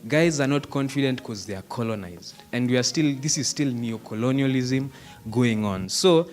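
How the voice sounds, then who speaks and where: A man's voice: medium pitch, normal speed, darkish tone. A young man from Kenya giving an impassioned, argumentative comment from the audience at a panel discussion, slipping in Swahili phrases. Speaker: audience member asking a question. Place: theatre hall at a panel discussion.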